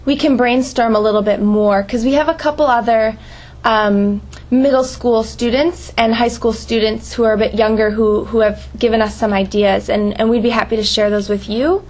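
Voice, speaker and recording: A woman's voice: fairly high pitch, steady tone, moderate pace, a little mellow. Encouraging woman hosting a conference call. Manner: encouraging, enthusiastic. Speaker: host mentor on a volunteer conference call. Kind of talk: informal conference call advice.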